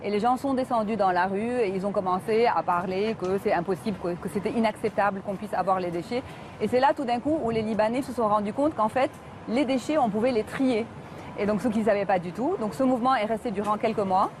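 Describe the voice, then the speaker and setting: A middle-aged woman from Lebanon speaks earnestly at a mid pitch. She stops often to hesitate while answering as a talk show guest. A clear noise runs steadily behind her voice. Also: fast. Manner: earnest, many hesitations with euh. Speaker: environmental activist guest.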